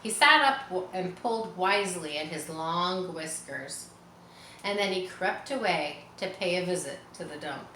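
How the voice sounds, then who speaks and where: Bright-voiced woman reading aloud in a roomy space. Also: fairly low pitch, expressive, measured pace. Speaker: storybook reader. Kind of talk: children's read-aloud with casual asides. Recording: roomy echo, faint background noise.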